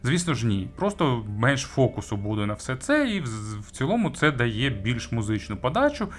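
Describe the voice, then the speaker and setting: A man giving a conversational audio gear review, speaking with hesitant pauses, stretched words and emphatic jumps on key phrases. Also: medium pitch, big pitch swings. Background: background music.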